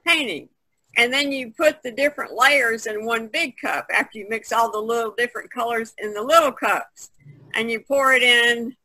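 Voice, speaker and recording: Female podcast participant, artist. Bright, forward voice, dry close sound, casual explanatory chat on a video call. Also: fairly high pitch, dry recording, low-quality recording. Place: online video conference call, indoors.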